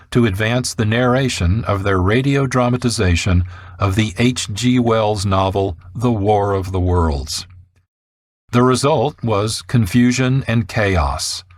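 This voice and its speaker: In a dry, echo-free studio sound, a man hosts a radio programme. His voice sits fairly low. He reads scripted documentary narration, setting out each phrase deliberately. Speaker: radio host and producer.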